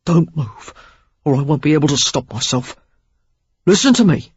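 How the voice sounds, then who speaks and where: Woman putting on a Cockney man, husky, hoarse, commanding, close dry sound. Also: sits low, expressive, moderate pace, darkish tone, no room sound, highs cut off, heavily acted. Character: rough intense Cockney man, club owner.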